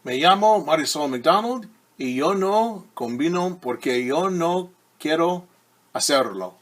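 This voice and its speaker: A man's voice: a little high, animated, a little slow, pitched above the performer's own voice. A man voicing a proud, quirky little girl from a children's storybook, speaking expressively and emphatically. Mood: proudly defiant.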